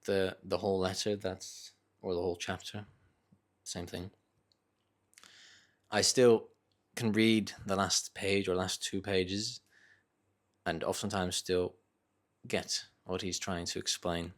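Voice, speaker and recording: A man with a low voice speaks in a Northern English accent tinged with Dutch. He talks off the cuff, as in a casual solo vlog. His phrasing is reflective and hesitant, with frequent small corrections as he finds his words. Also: little pitch movement, slow, long pauses. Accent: Northern English with slight Dutch. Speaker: vlogger sharing reading thoughts. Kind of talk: casual unscripted monologue.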